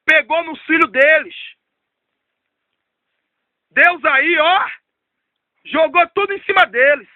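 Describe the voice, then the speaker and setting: A man's voice: very high voice, takes it slowly, pauses often, dry recording, phone-quality sound. A man, preaching an emphatic, repetitive testimony sermon. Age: 30s. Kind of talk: fervent storytelling sermon.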